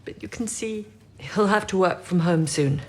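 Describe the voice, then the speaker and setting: A woman's voice: fairly low pitch, moderate pace, darkish tone, natural voice. A woman playing a reserved, caring statistician and codebreaker in a period wartime radio drama. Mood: sympathetic. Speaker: actor in an audio drama.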